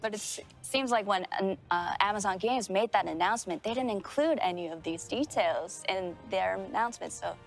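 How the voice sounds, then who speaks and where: Gravelly-voiced woman interpreting formally. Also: medium pitch, faint music behind. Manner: measured, formal phrasing. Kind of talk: consecutive interpreting.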